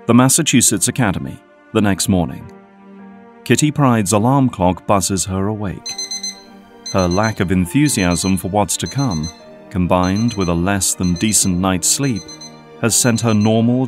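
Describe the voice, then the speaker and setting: A man's voice: deep voice, measured pace, over music. A man narrating a scripted audio drama as its storyteller.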